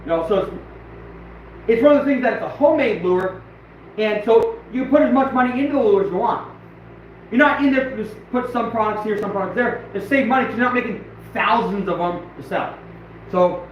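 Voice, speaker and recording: A hoarse man who is losing his voice, rambling excitedly and bluntly as he hosts a casual outdoor livestream. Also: high voice, a little fast, slight background noise. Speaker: trapper and channel host. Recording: very echoey, distant, dull and lo-fi.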